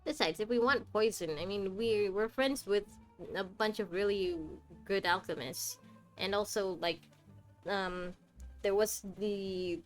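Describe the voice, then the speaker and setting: A woman's voice: medium pitch, measured pace, faint music behind. A woman doing casual live game commentary as a streamer, with a wry, teasing, sweary manner.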